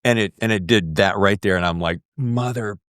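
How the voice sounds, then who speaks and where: An American man with a deep, bassy voice, hosting a podcast in an effusive, emphatic and jokey way. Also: normal speed, a bit bright, smooth. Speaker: podcast host. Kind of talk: enthusiastic podcast intro and casual interview chat.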